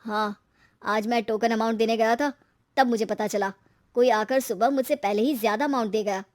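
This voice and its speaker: A woman's voice: on the high side, fairly even intonation, normal speed, lightly acted. A woman voicing an earnest, easily exasperated young married shopkeeper in a cartoon story. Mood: dejected.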